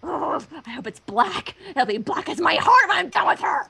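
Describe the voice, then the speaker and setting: A woman's voice: extremely high pitch, very animated, moderate pace, vocal fry, sharp tone. A woman in casual, joking banter as a co-reader of fan fiction.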